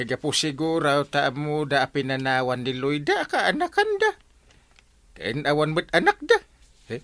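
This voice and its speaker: A man playing an animated village gossip in an Ilocano radio comedy drama, with an Ilocano accent. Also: mid-range voice.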